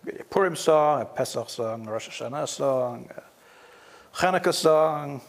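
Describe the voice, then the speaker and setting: A man's voice: on the high side, normal speed. A man from South Africa, hosting an interview show, asking probing questions and talking persuasively. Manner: probing, persuasive, talks in long runs. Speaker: talk show host. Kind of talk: casual interview.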